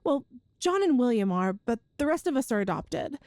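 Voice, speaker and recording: A woman's voice: fairly high pitch, sing-song, moderate pace, no echo, studio quality. A woman playing an affectionate, playful girlfriend from a ghost family in an ASMR audio roleplay, chatty and tender. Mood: warm, amused. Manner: chatty and tender, with soft laughs and sighs.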